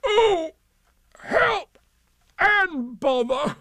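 A man voicing a slow, greedy, gloomy storybook bear who is easily flustered, with a hesitant, plaintive, drawn-out delivery. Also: medium pitch, expressive, a little mellow, no room sound, studio quality. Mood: distressed.

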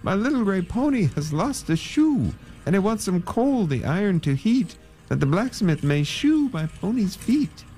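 A man's voice: sits high, wildly swooping pitch, normal speed, dark tone, faint music behind, pitched above the performer's own voice. A man voicing an anxious pony owner who pleads in a sing-song refrain. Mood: fretful pleading. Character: fretful man who lost his pony's shoe.

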